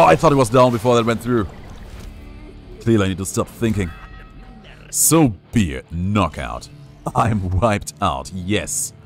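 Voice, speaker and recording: A young man streaming a game, giving playful, jokey live commentary and reading chat aloud. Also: on the low side. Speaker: gaming streamer.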